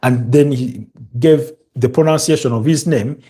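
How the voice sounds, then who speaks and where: A man with a Ugandan accent hosting a live football stream, chatting in a halting way and answering viewer comments. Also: mid-range voice, mellow. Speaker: football show host.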